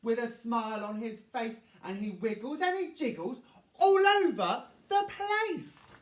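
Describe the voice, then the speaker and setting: A woman narrates a rhyming storybook for children with big swings in pitch. Her high voice takes its time and plays up the drama. The room echoes around her. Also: unhurried. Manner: dramatic, expressive, addresses young listeners. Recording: echoey room, distant from mic.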